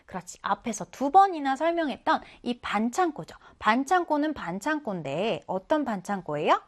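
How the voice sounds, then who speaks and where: A woman's voice: on the high side, big pitch swings, moderate pace. A woman teacher giving a children's reading lesson, explaining in a coaxing way and asking questions that she then answers.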